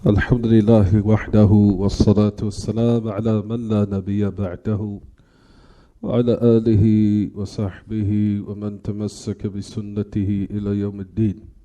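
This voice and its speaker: Dark-voiced man giving an Islamic lecture. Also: fairly low pitch, flat delivery, very fast, dull audio. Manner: didactic, repeats and restates points; mixes Arabic terms and formulas into English. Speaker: religious teacher. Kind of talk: Islamic lecture explaining a text.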